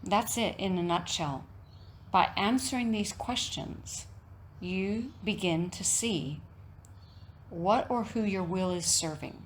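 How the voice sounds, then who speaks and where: Slow-speaking woman guiding a meditation. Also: medium pitch, a little hiss or hum. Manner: measured, deliberate phrasing with frequent pauses. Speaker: yoga nidra teacher. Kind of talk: guided meditation talk, reflective and instructional.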